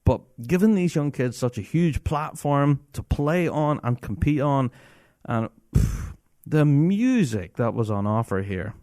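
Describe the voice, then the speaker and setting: A man hosts his own podcast, talking enthusiastically and breaking into laughter often. His voice moves around a lot in pitch and stays very animated. The recording is dry and close. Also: measured pace, no room sound. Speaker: podcast host. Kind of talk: casual solo podcast talk.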